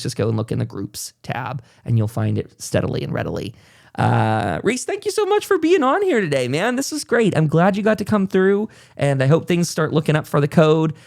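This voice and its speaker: A man's voice: mid-range voice, vocal fry. A man hosting a podcast in a casual, conversational way, informal and encouraging as he rambles along. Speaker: podcast host.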